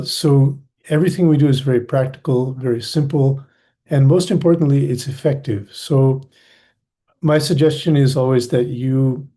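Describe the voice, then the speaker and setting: An older man from the USA introducing a meditation class in a gentle, explanatory way. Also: mid-range voice. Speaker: meditation instructor.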